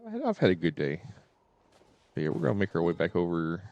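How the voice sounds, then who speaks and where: Low-voiced game streamer. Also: wildly swooping pitch, quick pace, darkish tone, no echo, lo-fi sound. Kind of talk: casual live stream chat.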